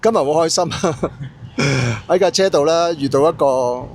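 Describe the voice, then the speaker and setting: A man from Hong Kong whose first language is Cantonese, a travel vlogger talking in an easygoing, chatty way with frequent filler pauses. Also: a little high, music in the background. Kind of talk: casual travel narration.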